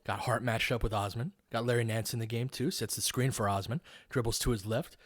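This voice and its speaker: A man's voice: medium pitch, fairly even intonation, fairly quick. A man calling live basketball play-by-play in a casual, conversational, analytical way. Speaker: play-by-play host.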